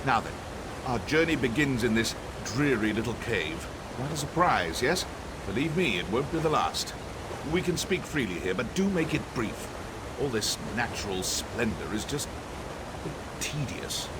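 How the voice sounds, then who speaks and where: A man's voice: mid-range voice, very animated, measured pace, background noise. A man playing an arrogant, cryptic elven sorcerer scholar in a video game, theatrical, condescending and dryly sardonic. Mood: imperious, wry. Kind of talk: scripted video game dialogue. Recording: music under the voice.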